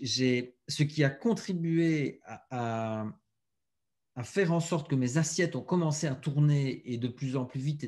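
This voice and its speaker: A man, a guest on a podcast, telling stories in an animated way and repeating words as he thinks. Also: mid-range voice. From Belgium. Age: 40s. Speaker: guest, author and speaker. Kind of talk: casual podcast interview.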